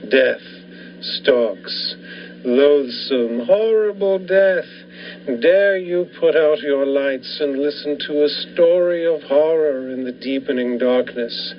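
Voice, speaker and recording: A man's voice: mid-range voice, lightly acted. A man playing an ominous announcer of a 1940s-style horror radio series, dramatic and portentous. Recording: dull lo-fi recording with faint noise.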